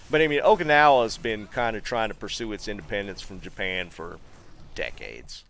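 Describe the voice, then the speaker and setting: Low-voiced Southern streamer man. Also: a little fast, vocal fry. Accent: Southern American. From North Carolina, USA. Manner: relaxed, rambling, many fillers and restarts. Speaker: game streamer. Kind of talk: casual live-stream chat reading viewer comments.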